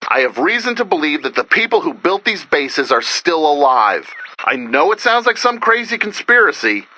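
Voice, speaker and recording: Animated, bright-voiced radio DJ. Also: male voice, on the high side, moderate pace, no room sound, heavily acted, poor audio. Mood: earnest, urgent. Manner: dramatic, urgent, wry, conversational. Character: earnest, wry, excitable survivor radio DJ in an apocalypse. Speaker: radio broadcaster character. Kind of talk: video game voice acting, radio broadcast monologue.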